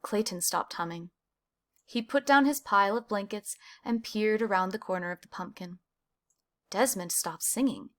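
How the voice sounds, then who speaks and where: A woman reading a children's picture book aloud, with animated emphasis on key words. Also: mid-range voice, normal speed. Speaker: storybook reader.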